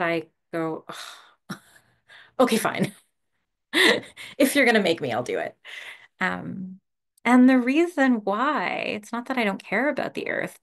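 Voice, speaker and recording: Gravelly-voiced woman meditation teacher. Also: medium pitch. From Canada. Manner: relaxed, laughs often, many fillers. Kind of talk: casual dharma talk.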